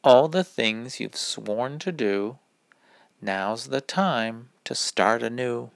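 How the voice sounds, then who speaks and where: A man reading a rhyming children's picture book aloud in a deliberate, instructive manner. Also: mid-range voice, gravelly, no echo. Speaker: read-aloud reader.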